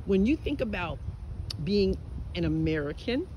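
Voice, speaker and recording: A woman's voice: medium pitch, sing-song, a little slow, dark tone, dull audio. A woman podcast host conducting street interviews outdoors on a college campus, probing and encouraging. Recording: outdoor background noise.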